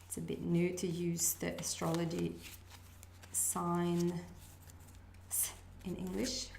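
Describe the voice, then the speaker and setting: A woman with a Swedish accent, a native Swedish speaker, doing a casual intuitive reading to camera as a channel host. She talks in a hesitant, musing way and pauses to sense messages. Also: on the low side, completely flat delivery, creaky, a little mellow, reverberant, a little hiss or hum. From Sweden. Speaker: astrology reader, channel host.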